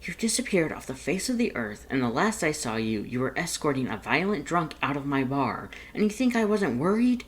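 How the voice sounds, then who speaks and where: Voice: medium pitch, sing-song, brisk, hardly any pauses, voice pushed up, lightly acted. A narrator voicing a protective, exasperated male bartender made of fire, scolding emphatically in a fan fiction reading. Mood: indignant scolding.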